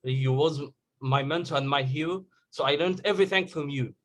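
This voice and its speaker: Halting Egyptian man on a call. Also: a little high, fairly bright tone. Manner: halting, searching for words. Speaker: agency owner sharing story. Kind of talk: casual group call.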